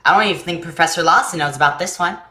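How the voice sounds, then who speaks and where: A woman's voice: on the low side, rapid-fire, bright, forceful tone, roomy sound. A woman playing an earnest, eager and frank young boy in a staged play reading. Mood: eager, proud. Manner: earnest, blunt, childlike.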